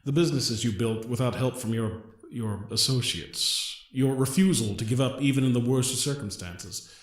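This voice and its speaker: A man playing a polite, earnest gentleman genius in an audio drama, with a formal, wordy and slightly hesitant way of speaking. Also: mid-range voice, normal speed, echoey room, close to an everyday voice. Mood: earnest, measured. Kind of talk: scripted audio drama acting.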